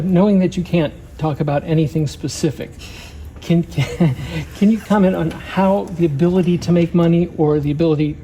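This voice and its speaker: A man's voice: fairly high pitch, warm tone, a little hiss or hum, rough recording. A man reporter asking a careful, wordy question at a press conference.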